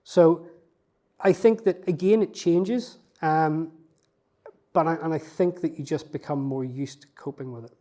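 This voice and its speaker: A man's voice: fairly high pitch, measured pace, mellow. A man, a researcher, answering interview questions in a reflective, self-effacing way, with frequent fillers and restarts. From not from Newcastle.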